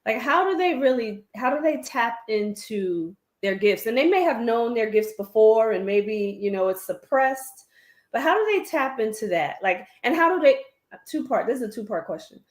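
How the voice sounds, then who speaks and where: A woman's voice: a little high, lively intonation, moderate pace, roomy sound. A woman hosting a talk show, chatting casually and encouraging her guest with frequent affirming murmurs.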